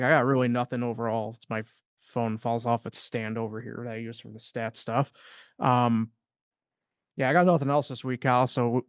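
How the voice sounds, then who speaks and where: A man's voice: mid-range voice, clean tone, dry recording. A man co-hosts a casual sports podcast, wandering through his points with plenty of filler words. His voice sits in an ordinary middle range. The sound is dry and close, with no room around it. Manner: loose and rambling, heavy on fillers.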